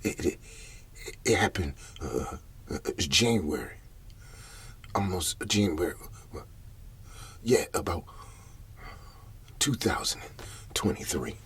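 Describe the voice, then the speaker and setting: A man playing a paranoid, troubled older Southern farmer in an ASMR roleplay, whispering haltingly and repeating his words as he rambles. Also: deep voice, lively intonation, a bit bright, heavily acted. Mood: uneasy, bewildered. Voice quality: breathy whisper.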